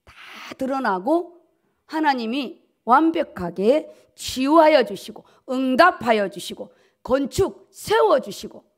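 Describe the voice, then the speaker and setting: Woman pastor, middle-aged, fairly high voice, emphatic sermon delivery with repeated key words. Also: animated, slow pace. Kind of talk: sermon, preaching at a dawn service. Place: at a pulpit in a church auditorium. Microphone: podium gooseneck microphones.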